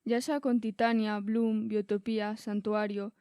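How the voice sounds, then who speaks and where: A woman's voice: mid-range voice, flat delivery, unhurried, warm tone, clear, resonant tone. A woman asking prepared questions as a student interviewer.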